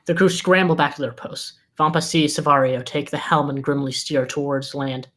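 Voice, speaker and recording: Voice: mid-range voice, steady tone, moderate pace, fairly bright tone. A narrator reading scripted stage directions at a live table read.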